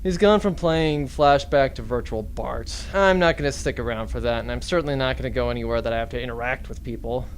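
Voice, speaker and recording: A man's voice: medium pitch, a little fast, few pauses, slight background noise, natural voice. An actor playing a sardonic, unsociable spaceship crewman in an audio drama, dry and sarcastic. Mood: wry.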